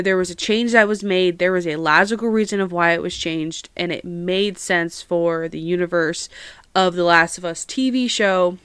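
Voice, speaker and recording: A young woman talks at length on her solo podcast, her voice stuffy and nasal from a head cold. Under the cold her tone stays smooth and clean. The recording is dry and close, with no room echo. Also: on the low side, fairly bright tone. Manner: chatty, rambling, self-aware about yapping. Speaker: podcast host. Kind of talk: casual solo podcast chat. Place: own room at home.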